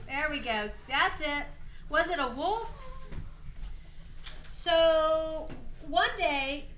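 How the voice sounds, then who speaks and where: A woman's voice: high voice, extremely slow, fairly bright tone. A woman with a Southern US accent reads a story aloud as narrator, breaking off to give stage directions to young performers. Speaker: narrator directing a children's dramatization. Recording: echoey room, noisy amateur recording.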